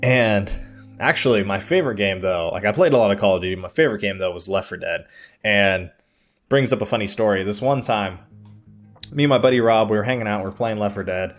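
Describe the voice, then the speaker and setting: A man's voice: on the low side, fairly quick, faint music behind. A man telling personal stories casually to his online viewers, digressing, hesitating and circling back as he goes. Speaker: YouTube storyteller. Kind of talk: casual rambling personal storytelling.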